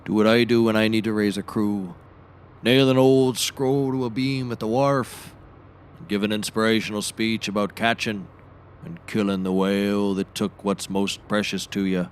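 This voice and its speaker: Man playing a low-voiced deadpan seafaring sidekick. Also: moderate pace, slight background noise, close to an everyday voice. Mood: dry, expansive. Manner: dry, deadpan, storytelling. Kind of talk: scripted comedy audio drama.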